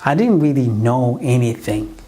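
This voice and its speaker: Earnest Ugandan-born man, mellow voice. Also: medium pitch, very animated, normal speed. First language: not English. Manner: earnest, restarts and corrects himself. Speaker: business coach. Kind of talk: motivational website pitch.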